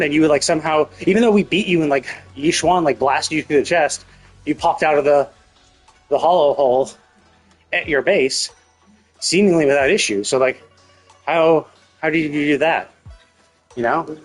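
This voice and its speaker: A man streams casual game commentary in a fairly high, husky voice. He thinks aloud, speculating and questioning as he rambles along. Soft music plays faintly behind him. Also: moderate pace, pauses often. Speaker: live streamer.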